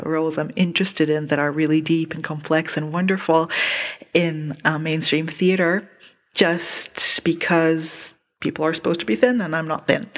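A woman with a non-American accent giving informal spoken feedback as a listener, in hesitant, halting phrases. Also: low voice.

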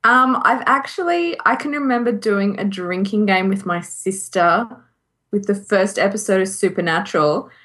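A woman's voice: mid-range voice, normal speed, fairly bright tone. A woman co-hosting a podcast in casual, chatty conversation. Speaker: podcast co-host.

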